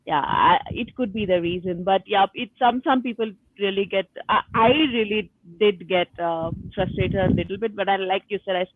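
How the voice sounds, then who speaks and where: A woman's voice: medium pitch, moderate pace, few pauses, no echo. A woman talking casually and chattily as a panelist in a group book discussion. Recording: phone-like narrow-band call audio.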